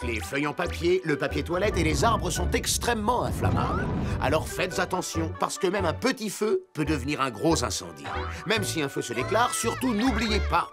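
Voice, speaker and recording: A performer plays an earnest cartoon rescuer giving safety advice. The voice sits at medium pitch with very lively intonation. It is didactic and caring, over loud music. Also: natural voice. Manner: didactic, clearly cautioning. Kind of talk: French children's cartoon dub, instructional.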